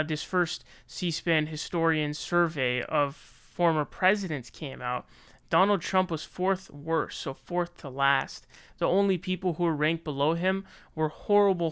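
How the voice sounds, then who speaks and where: Clear-voiced American man commenting on news. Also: on the high side, a bit bright, dry recording. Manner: opinionated, colloquial. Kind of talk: casual news commentary, reading articles aloud.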